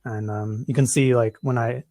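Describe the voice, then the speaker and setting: A man's voice: medium pitch, fairly even intonation, moderate pace, dark tone, no echo. Hesitant American man answering questions. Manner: hesitant, lots of ums and restarts. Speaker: conference presenter. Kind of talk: conference Q&A answers.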